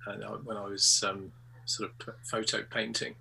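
A man's voice: lowish voice, little pitch movement, normal speed, vocal fry, pushed and bright, husky, low-quality recording. A man in his sixties from England, a photographer chatting as a guest in an interview, hesitant with many ums and restarts, over a video call. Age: 60s. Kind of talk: casual interview chat.

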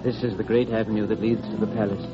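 An actor playing a tender, grieving guardian in an old radio drama, gentle, earnest and theatrical. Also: male voice, lowish voice, level tone, quick pace, close to an everyday voice. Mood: urgent storytelling. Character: tender, grieving guardian promising a fairy-tale journey. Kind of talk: 1940s radio drama acting. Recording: muffled vintage radio recording, music behind.

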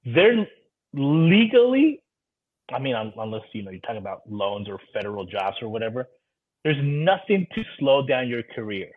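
A man's voice: mid-range voice, sing-song, normal speed. A man in his thirties speaking casually as a podcast guest, over dull, phone-like audio. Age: 30s. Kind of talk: casual conversation, giving encouraging advice.

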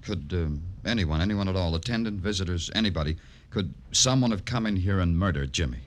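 An actor playing a weary, hardboiled police detective, brooding and poetic in the style of 1950s radio noir. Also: male voice, low voice, animated, a little fast. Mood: businesslike, somber. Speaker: detective narrator and lead. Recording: old radio recording with music behind.

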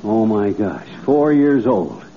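Cocky, lively radio-comedy everyman, tinny recording. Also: fairly low pitch, slow, heavily acted. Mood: gleeful, boastful. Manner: folksy, boastful, chuckling banter with slangy nicknames. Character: cocky, cheerfully boastful middle-aged everyman, convinced he is lucky. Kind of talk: 1940s radio comedy acting. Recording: noisy, tinny vintage 1940s radio recording.

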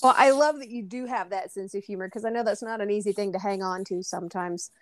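A woman's voice: mid-range voice, fast talker. Upbeat lady host asking questions, no room echo. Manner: upbeat, laughs easily. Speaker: interviewer host. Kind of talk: interview chat.

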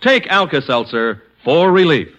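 Male vintage radio announcer, emphatic salesman delivery, very bright, animated intonation. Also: on the high side, measured pace, dull audio. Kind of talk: vintage radio sponsor pitch.

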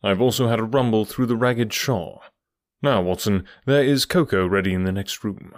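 Man doing a precise, confident detective, low and dry, laying out his deductions. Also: moderate pace, no echo, close to an everyday voice. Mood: brisk. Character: brisk, methodical middle-aged male detective.

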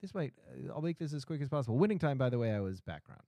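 A man's voice: medium pitch, racing, dry recording. Boston man telling comic stories. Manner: animated anecdotes, rising into loud laughing bits. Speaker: comedian guest. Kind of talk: casual podcast chat, storytelling.

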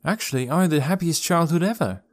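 A man's voice: a little high, animated, fast talker, no room sound, studio quality, voice pushed up. A man voicing an earnest, urgent young woman who leads a forest community. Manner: raised, earnest and pleading delivery.

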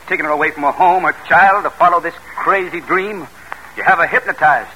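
An actor playing an earnest, indignant, protective husband in old-time radio drama, urgent and blunt. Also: male voice, fairly high pitch, fairly quick, sharp tone. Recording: noisy vintage radio recording.

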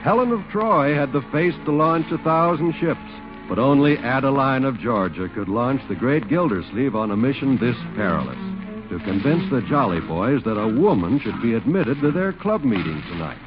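A male announcer narrates an old radio show with grand, theatrical flair. His pitch moves widely over clear music. The vintage recording sounds muffled. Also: animated, normal speed. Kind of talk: 1940s radio scripted narration.

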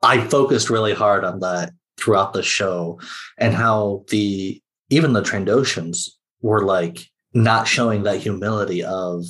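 A man's voice: lowish voice, unhurried, a bit bright. A man speaking as a podcast guest in a casual discussion.

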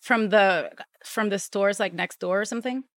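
A woman chatting casually, halting often as she searches for words. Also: mid-range voice, no echo, clean studio sound. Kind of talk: casual chat.

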